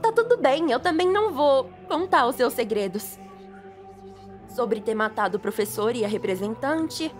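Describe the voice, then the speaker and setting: A woman's voice: fairly high pitch, lively intonation, normal speed, natural voice. A Brazilian woman voicing a cheerful, self-righteous schoolgirl with an earnest, menacing streak in an anime-style fan dub. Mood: relieved, confiding. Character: cheerful, self-righteous schoolgirl turned justice devil contractor. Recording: music behind the voice.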